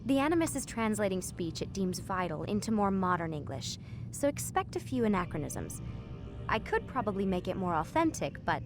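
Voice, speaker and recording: A woman's voice: mid-range voice, big pitch swings, normal speed, over music, close to an everyday voice. A woman playing a guarded, patient but firm scientist in a video game, with a dry manner. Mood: dry, matter-of-fact. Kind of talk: naturalistic video game acting.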